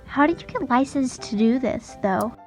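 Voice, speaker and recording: A woman's voice: high voice, animated, moderate pace, a little mellow, soft background music. A woman playing an enthusiastic, inquisitive young girl in a scripted radio drama. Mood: interested.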